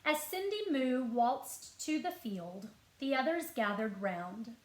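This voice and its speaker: Female children's librarian for storytime read-aloud: higher-pitched voice, unhurried, expressive delivery, roomy sound. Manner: expressive storytelling, clear deliberate phrasing, asks listeners questions. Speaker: school librarian. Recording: roomy echo.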